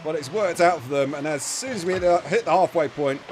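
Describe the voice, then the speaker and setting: A man giving chatty live play-by-play commentary on a match, his voice starting to go hoarse. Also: a little high, brisk, faint music behind. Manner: chatty, self-deprecating asides, bursts of excitement on big plays. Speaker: esports commentator.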